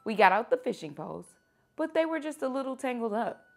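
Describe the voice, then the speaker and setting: A woman reading children's books aloud like a teacher at story time, with expressive, animated phrasing for young listeners. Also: fairly high pitch, a little fast, gravelly. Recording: music behind the voice.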